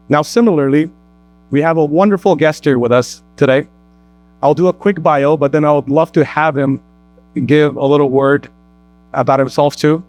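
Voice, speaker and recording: A man hosting a community talk show recorded at a mosque, speaking in an easygoing way with plenty of fillers. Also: fairly high pitch. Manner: easygoing, warm introductions with fillers. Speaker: host.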